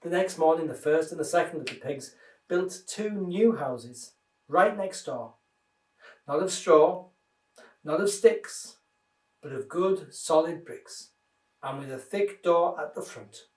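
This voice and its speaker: A man reading a children's story aloud in an expressive, dramatised way. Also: on the high side. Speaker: minister reading children's stories. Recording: roomy echo, distant microphone.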